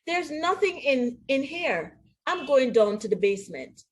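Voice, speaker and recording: A woman voicing a cheerful, eager father pig in a children's storybook, expressive and exclaiming. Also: sits high, a little slow, voice pushed up. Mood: businesslike.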